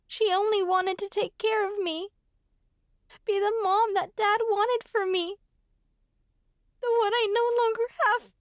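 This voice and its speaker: Woman playing a very high-pitched frightened girl. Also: steady tone, dry recording, clean studio sound. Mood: grieving. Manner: tearful, pleading, halting. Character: frightened, grieving young girl, defiant victim. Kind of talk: horror audio drama acting.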